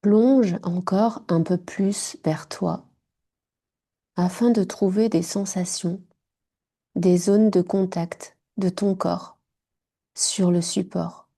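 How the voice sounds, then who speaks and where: A woman guiding a yoga nidra relaxation in short, hushed, soothing phrases. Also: lowish voice, fairly even intonation, slow pace, gravelly. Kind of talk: guided meditation.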